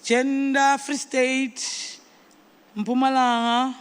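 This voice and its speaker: High, clear, unhurried South African chairperson. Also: little pitch movement. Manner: informal, mixing English with Nguni phrases. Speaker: congress chairperson. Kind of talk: chairing a meeting, calling on provinces.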